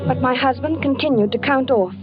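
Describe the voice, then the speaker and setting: Actress as anxious wife-narrator, higher voice, muffled old radio with music. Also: female voice, a little fast, natural voice. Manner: anxious, earnest storytelling. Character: worried young wife narrating her story. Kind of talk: 1940s radio acting.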